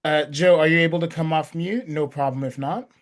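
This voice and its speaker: A man chairing an online workshop over a video call, calling on speakers in turn in a brisk, courteous way. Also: fairly high pitch. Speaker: meeting facilitator.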